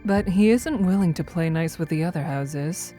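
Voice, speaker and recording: Casting: actress as a teasing, confident goddess for audio drama; mellow, relaxed tone, measured and wry delivery. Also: female voice, on the low side, natural voice. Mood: cool, teasing. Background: background music.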